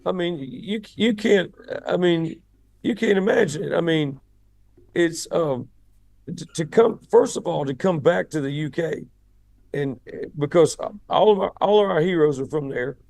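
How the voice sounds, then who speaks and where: Raspy-voiced rock singer. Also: male voice, a little high, expressive, a little slow, a little mellow, no room sound. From United States. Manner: rambling, repeats words when starting sentences. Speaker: rock band lead singer, guest. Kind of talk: casual interview chat.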